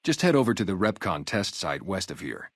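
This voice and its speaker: Low-voiced, streetwise wasteland sniper guard. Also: male voice, very animated, a little fast, breathy edge, no echo, clean studio sound, full character voice. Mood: matter-of-fact. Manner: casual, streetwise, conversational. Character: gruff ex-gang sniper guarding a wasteland town, loyal, guarded. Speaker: security guard and former soldier. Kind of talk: video game character dialogue, naturalistic.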